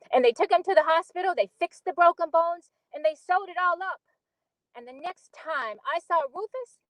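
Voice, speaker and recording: A woman's voice: extremely high pitch, a bit bright, dry recording, voice pushed up. A woman performing a dramatic stage monologue as an earnest young woman recalling childhood, on muffled lo-fi audio.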